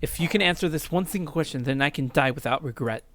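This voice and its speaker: A man's voice: fairly high pitch, fast talker, lightly acted. A man voicing a bitter, desperate, dying young man in a visual novel, with strained and theatrical delivery. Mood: desperate, accusing. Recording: loud music under voice.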